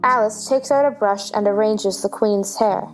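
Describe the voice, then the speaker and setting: A woman's voice: mid-range voice, moderate pace, faint music behind, poor audio. A woman reading stage directions as the narrator of a scripted storybook drama.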